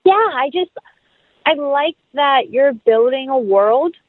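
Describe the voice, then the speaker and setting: A woman's voice: sits high, expressive, takes it slowly, no room sound. A woman speaking as an interview guest, chatting casually about her creative work in an enthusiastic, effusive way. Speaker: interview guest, actress and writer. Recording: thin phone-like sound.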